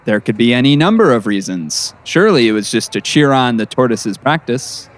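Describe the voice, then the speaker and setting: A middle-aged male principal as a man acts him in an audio drama. He is formal and paternal, admonishing in a mid-pitched voice with measured authority. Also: expressive, close to an everyday voice. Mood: stern, cautioning. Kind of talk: scripted audio drama acting.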